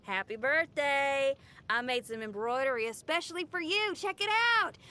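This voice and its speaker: A woman's voice: sits high, animated, normal speed, sharp tone. An actress voicing an upbeat, brash young female rock musician in a video game, with slangy, exuberant, hammy delivery. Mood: cheerful, bashful. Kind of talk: video game character voice lines.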